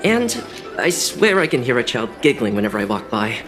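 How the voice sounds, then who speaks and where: A man's voice: medium pitch, big pitch swings, a little fast, lightly acted. Man as a grumbling, mid-voiced guard. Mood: uneasy. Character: grumbling, uneasy male guard complaining about duty. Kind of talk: game voice acting. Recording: game music behind the voice.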